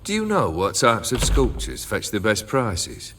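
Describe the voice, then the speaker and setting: A man's voice: fairly low pitch, wildly swooping pitch, a little slow, noisy background. An actor playing a jaded, cursed male noble who leads a band of bandits, talking in a languid, sardonic drawl in a game cutscene. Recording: rowdy crowd of revelers behind.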